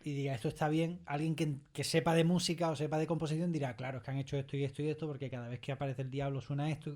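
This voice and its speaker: A man with a Castilian Spanish accent speaks as an interviewed creator in a studio. He explains things in an animated, conversational way, often repeating words for emphasis and tagging his phrases with short questions. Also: fairly high pitch, very fast. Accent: Spain. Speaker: audio fiction creator being interviewed. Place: recording studio.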